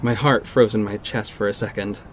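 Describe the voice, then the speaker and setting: A man acting as a guarded, sardonic costumed vigilante in an audio drama, measured and terse, with an exasperated edge. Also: on the low side, fairly even intonation, slight background noise, close to an everyday voice. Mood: wry, brooding. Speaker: narrator and lead actor. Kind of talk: first-person audio drama narration and dialogue.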